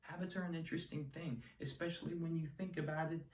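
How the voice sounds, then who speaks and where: A man's voice: on the high side, fairly even intonation, fast talker, a little mellow. A man hosting a casual talk on a word's Latin origins, with a soothing voice and a chatty, rambling manner full of asides. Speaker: host of a Latin word-origin channel. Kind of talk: casual etymology explainer. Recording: roomy, mic at a distance.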